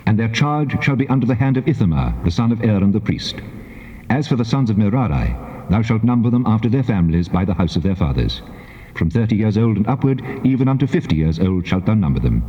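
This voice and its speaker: Deep, reverberant actor as the commanding God. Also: male voice, dark tone, lightly acted. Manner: measured, stately, authoritative. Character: solemn, commanding God giving instructions to his people. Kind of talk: dramatized scripture reading in archaic English. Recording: muffled cassette with long cavernous reverb.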